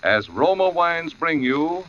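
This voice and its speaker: Bright, animated American radio announcer. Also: male voice, on the high side, slow pace. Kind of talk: 1940s radio ad reading. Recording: dull vintage 1940s radio recording.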